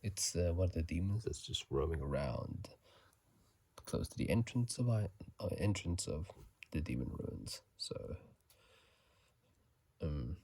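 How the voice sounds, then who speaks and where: Very low, breathy whispering man. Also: lively intonation, no echo. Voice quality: breathy, gravelly soft whisper. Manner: slow, halting, hushed delivery. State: sleepy. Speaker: video host. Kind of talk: ASMR whispering; casual game commentary. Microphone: close phone microphone.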